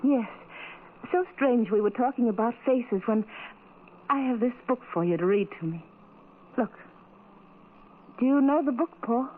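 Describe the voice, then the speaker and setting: A woman's voice: a little high, measured pace, long pauses, close to an everyday voice. An actress playing a tender, adoring young blind woman in an old-time radio drama, speaking earnestly and romantically. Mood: gentle, coaxing. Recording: tinny, noisy old radio recording.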